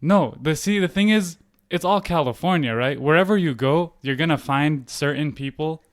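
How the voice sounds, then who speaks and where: A man's voice: a little high, normal speed, no echo, studio quality. A young man from the San Francisco Bay Area, guest on a podcast, chatting casually with slang and easy laughs. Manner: relaxed, laughs easily, slangy. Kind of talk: casual chat.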